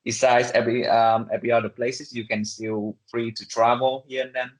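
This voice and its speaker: A young man from Vietnam with a Vietnamese accent, answering interview questions casually and haltingly, with lots of filler words. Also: medium pitch, little pitch movement. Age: young adult. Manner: halting, conversational, many fillers and hedges. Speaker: interview guest, international student. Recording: echoey room sound.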